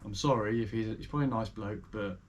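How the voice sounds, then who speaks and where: A man's voice: on the low side, fast talker, a little hiss or hum. A man hosting a reaction video, commenting bluntly and swearing in casual chat.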